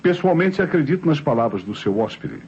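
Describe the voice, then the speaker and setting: A man's voice: fairly high pitch, very animated, fairly quick, darkish tone. A Brazilian man playing a sly, ironic police inspector in an old radio drama, courteous and teasing, repeating himself. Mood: politely probing. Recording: muffled vintage radio recording, faint noise.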